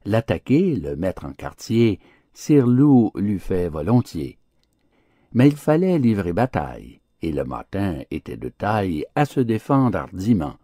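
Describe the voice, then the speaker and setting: Deep, expressive male fable narrator. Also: measured pace, dry recording, studio quality. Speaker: audiobook narrator. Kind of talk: theatrical scripted fable narration.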